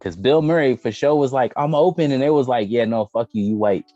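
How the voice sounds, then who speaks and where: A man co-hosting a casual podcast, bantering in animated, exclamatory slang and acting out reactions. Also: medium pitch, brisk, no echo, highs cut off. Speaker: podcast co-host. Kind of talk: casual banter about movies and sports.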